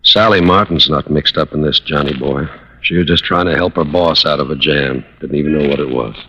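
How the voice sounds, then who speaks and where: Very deep-voiced, heartbroken, weary husband. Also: male voice, slightly rough. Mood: weary, pleading. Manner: halting, resigned, wistful. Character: weary, heartbroken husband confessing to covering for his firebug wife. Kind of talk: 1950s radio drama acting. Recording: dull, noisy old radio recording.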